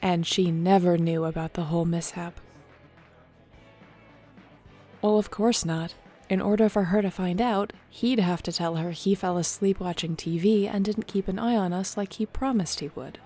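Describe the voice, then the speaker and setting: A woman acting in an audio roleplay as a warm young shapeshifter clan leader, talking casually and sincerely. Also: on the low side, moderate pace, soft background music, close to an everyday voice. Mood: amused, reminiscing. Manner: casual, sincere, conversational storytelling.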